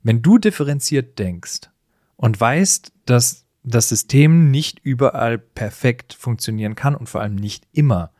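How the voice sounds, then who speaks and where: A man's voice: mid-range voice, animated, a little slow, dark tone, no room sound, studio quality. A man in a conversational podcast discussion, explaining things with emphatic phrasing. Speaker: podcast co-host.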